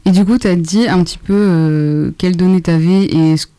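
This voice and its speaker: A young woman with a mellow, dark-toned voice interviews a guest on the radio. She puts her questions casually and haltingly, pausing to find her words. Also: lowish voice, smooth.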